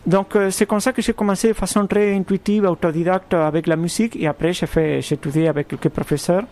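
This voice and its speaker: A man from Argentina with a fairly high voice speaks as a composer in an interview. He picks his words slowly, with false starts and repeated words. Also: steady tone, darkish tone. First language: Spanish. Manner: thoughtful, halting, many restarts. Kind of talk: radio interview.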